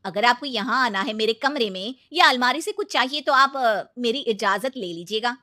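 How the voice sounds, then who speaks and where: A woman's voice: fairly high pitch, lively intonation, normal speed, bright, forceful tone. A woman voicing a firm, self-assured young sister-in-law in a story, speaking in a pointed, emphatic way. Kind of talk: animated Hindi moral story dialogue.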